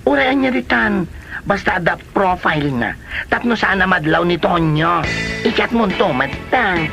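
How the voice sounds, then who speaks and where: A woman's voice: fairly low pitch, lively intonation, moderate pace, over music. A woman playing a scheming, gossipy friend in an Ilocano comedy drama. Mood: conspiratorial, urging. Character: scheming, gossipy female friend egging another on.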